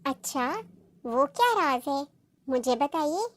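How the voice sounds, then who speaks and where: A woman's voice: high-pitched, expressive, takes it slowly. A woman voicing a young, innocent little female bird in a cartoon, polite and childlike in manner. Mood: curious. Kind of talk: Hindi-Urdu cartoon dialogue.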